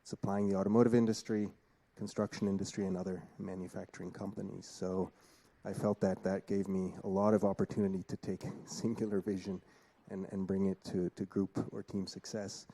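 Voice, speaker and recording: Low mellow-voiced Swiss man. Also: creaky. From Switzerland. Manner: measured, with frequent ums. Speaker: panelist. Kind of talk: conference panel talk.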